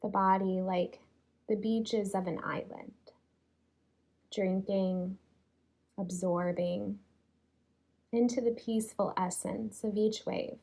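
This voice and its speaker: A woman leading a guided yoga relaxation in a soothing, unhurried way, leaving long pauses between phrases. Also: medium pitch, fairly even intonation, gravelly, mellow, poor audio. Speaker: yoga nidra teacher.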